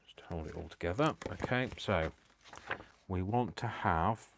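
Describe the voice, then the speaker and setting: A very deep-voiced man talks to himself while crafting, muttering softly and teasing himself as he goes. A faint hiss sits under his voice. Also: unhurried. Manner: self-mocking, talks to himself. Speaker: crafting video host. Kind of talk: live crafting stream, chatty self-talk.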